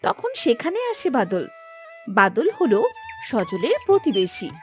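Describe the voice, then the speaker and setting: A woman's voice: a little high, sing-song, measured pace, a little mellow, faint music behind. A woman narrating a scripted fairy tale as a storyteller.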